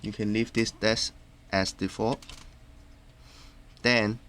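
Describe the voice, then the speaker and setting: A man narrating a step-by-step tutorial in a plain, instructional way, halting from phrase to phrase. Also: lowish voice, flat delivery, a bit bright. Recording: clearly noisy background.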